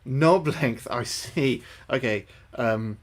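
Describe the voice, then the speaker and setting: A man's voice: medium pitch, slow pace. A young man livestreaming a game, thinking aloud to his chat, sweary and repeating himself. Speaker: livestreaming gamer. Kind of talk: casual gameplay commentary to chat.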